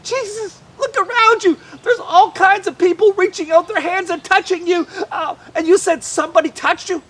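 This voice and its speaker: A woman voicing a group of exasperated male followers, loud and high, in a children's Bible story. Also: fairly even intonation, moderate pace. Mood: incredulous.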